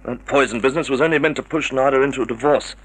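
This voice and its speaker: A man's voice: medium pitch, racing, husky, lightly acted, rough recording. An actor playing a hot-headed man in a 1950s radio crime drama. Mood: dawning realisation. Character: hot-headed male suspect framed for poisoning.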